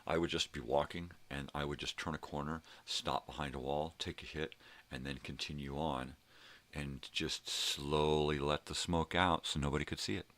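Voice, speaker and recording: A middle-aged man with a gravelly voice, rambling casually as he tells stories with swearing and emphatic bursts. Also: extremely low pitch, steady tone, moderate pace, a bit bright. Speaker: host of a daily personal vlog.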